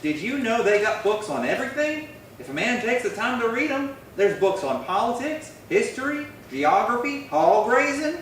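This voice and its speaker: An actor playing a simple-hearted, excitable western sidekick, folksy and earnest, in an old-time radio drama style. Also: male voice, extremely high pitch, moderate pace, exaggerated voice. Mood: proud, marveling. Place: little theatre stage, distant mic. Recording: very echoey hall, far from mic, faint noise.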